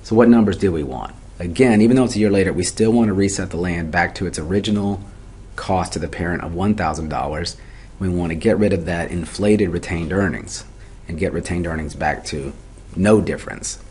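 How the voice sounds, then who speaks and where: A man with a very low voice delivers an instructional lecture. He works methodically through each step, asking questions and answering them himself. There is faint noise in the recording. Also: fairly quick, darkish tone. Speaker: accounting instructor. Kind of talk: explanatory lecture for a video tutorial.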